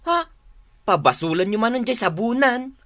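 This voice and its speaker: A woman's voice: a little high, lively intonation, unhurried, a bit bright. A woman playing an exasperated younger sibling who keeps house in a rural family, in a radio drama with an Ilocano accent.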